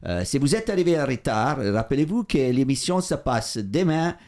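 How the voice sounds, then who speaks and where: Italian-accented man hosting, effusive and halting. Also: medium pitch, big pitch swings, darkish tone. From Italy. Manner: effusive, halting, fills gaps with repeated "voilà". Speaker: radio show host. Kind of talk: casual on-air chat.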